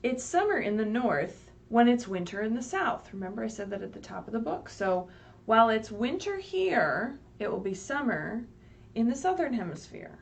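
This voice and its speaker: A woman with a high, very lively voice reads a picture book to small children. She takes her time, explains things simply and keeps checking in with little questions. She sounds a bit away from the mic, with faint noise in the recording. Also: normal speed, vocal fry. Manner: sing-song, asks questions and checks in with "right?". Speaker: children's librarian leading story time. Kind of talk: read-aloud picture book story time for young children. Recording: distant mic, faint background noise, livestream.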